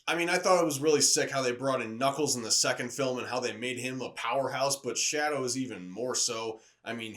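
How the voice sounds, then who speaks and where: A man reviewing movies in a casual, conversational video style, enthusiastic and openly opinionated. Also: mid-range voice.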